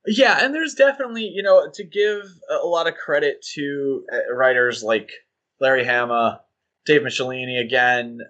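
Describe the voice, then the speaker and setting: Bright-voiced man co-hosting a podcast. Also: medium pitch, normal speed. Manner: enthusiastic, rambling with fillers and laughs. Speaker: podcast co-host. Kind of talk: casual chat.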